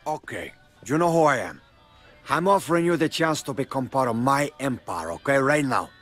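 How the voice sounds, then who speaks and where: An actor playing a cocky, menacing crime boss with a Cuban accent in a video game cutscene. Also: male voice, medium pitch, animated, normal speed, a bit bright, soft background music, close to an everyday voice. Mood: persuasive.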